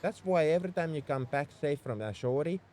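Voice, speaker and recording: A man's voice: fairly high pitch, animated, brisk, darkish tone, a little hiss or hum, natural voice. A man playing a young tsundere spaceship mech engineer in an audio roleplay, defensive about his feelings as he speaks straight to the listener. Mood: upset, caring. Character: gruff-caring tsundere male mech engineer, young adult. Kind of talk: ASMR roleplay acting, addressed to the listener.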